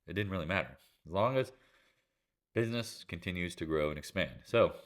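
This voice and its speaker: A man's voice: deep voice, brisk. An American man with a head cold gives a casual market recap as host, in clipped, slangy phrases with a congested nose and throat. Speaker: channel host, trader and real estate agent.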